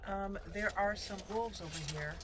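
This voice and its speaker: A woman's voice: mid-range voice, normal speed, heavy background noise. Chatty woman narrating her gameplay. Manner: chatty, thinks aloud, reads game text. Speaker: let's play host. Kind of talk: casual gameplay commentary.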